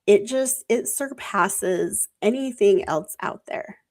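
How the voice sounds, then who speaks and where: A woman's voice: mid-range voice, slow pace, darkish tone. A woman who is sick and losing her voice talks in a casual, rambling way about religious ideas on a livestream, with many fillers. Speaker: co-host.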